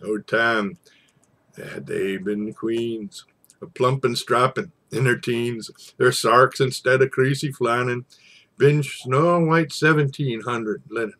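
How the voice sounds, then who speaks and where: A man reciting an old narrative poem in a theatrical storytelling style, with dramatic pauses and swells. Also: mid-range voice, fairly even intonation, fairly bright tone. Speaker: poetry reader.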